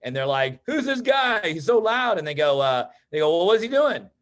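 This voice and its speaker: An American man from Texas tells personal stories as a guest in an online meeting, in an informal, animated way that often acts out dialogue. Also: high-pitched, moderate pace. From Texas, United States. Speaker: interview guest, real estate agent and coach.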